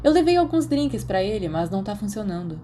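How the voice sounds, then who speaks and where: A good-humored, bossy teenage schoolboy with a Brazilian accent, voiced by a woman for an audiobook. He sounds casual, teasing and a little tipsy, in a mellow, soft-toned voice. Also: medium pitch, sing-song, a little fast, slight background noise, pitched above the performer's own voice, close to an everyday voice. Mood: mildly exasperated. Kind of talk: audiobook character dialogue.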